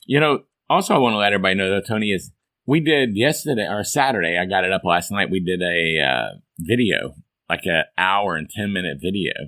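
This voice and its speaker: A hoarse man hosts a folksy, upbeat podcast chat. His voice sits on the low side yet comes across bright and forceful. The sound is dry and close, with no room around it. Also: expressive, a little fast, dry recording. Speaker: podcast host. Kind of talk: casual chat.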